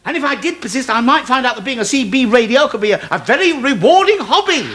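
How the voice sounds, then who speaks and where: A high, bright male voice: a petulant English husband in a television sitcom. He is middle-aged, sarcastic and exasperated, with very animated pitch. Faint noise sits on the old TV copy. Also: quick pace. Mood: wavering. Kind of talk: TV sitcom acting.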